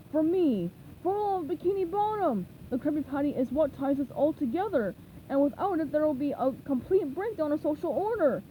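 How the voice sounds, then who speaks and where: A woman voicing a gruff, dramatic middle-aged male crab boss, loud and theatrical with old sea-dog talk. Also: high voice, a little hiss or hum, pitched above the performer's own voice, rough recording.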